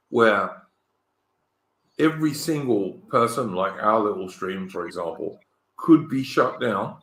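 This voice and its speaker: An English man hosting a casual online political chat, halting and searching for words, with many ums and restarts. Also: on the low side, fairly bright tone. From England. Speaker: host. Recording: echoey room.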